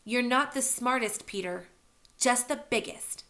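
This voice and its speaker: A clever young girl with a sharp tongue, read by a woman. She answers back defiantly in a raised, cutting tone. The story is told as an audiobook. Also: fairly high pitch, measured pace, voice pushed up.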